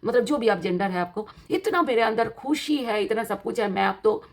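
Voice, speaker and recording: A woman's voice: on the high side, animated, moderate pace. A woman with an Indian accent and a slightly worn voice gives an informal tarot reading, explaining each point in a teacherly, encouraging way and often repeating and rephrasing it. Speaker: tarot card reader and host.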